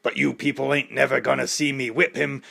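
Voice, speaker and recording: A man voicing a loud, menacing young male boxer who taunts and boasts about himself. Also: medium pitch, level tone, brisk, vocal fry, slightly rough, heavily acted.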